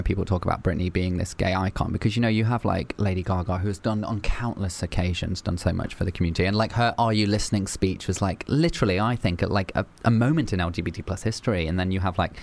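A man talks quickly in a casual podcast conversation, his voice slightly low. He sounds eager, readily agreeing and jumping back in. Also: vocal fry. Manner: eager, agreeable, fast and restless delivery. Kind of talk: casual chat.

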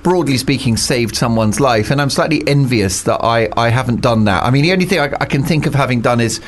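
Male talk show host, animated and emphatic, steering the panel. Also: mid-range voice, brisk. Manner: animated, emphatic, rises on key words.

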